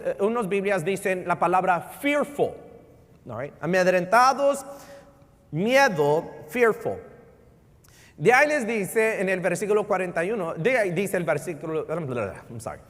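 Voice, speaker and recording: A middle-aged pastor from Houston preaching a sermon, animated, with a Texan Spanish accent and English words mixed in. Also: male voice, high-pitched. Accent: Texan Spanish with English code-switching. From Houston, Texas. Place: church sanctuary. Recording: church sanctuary reverb.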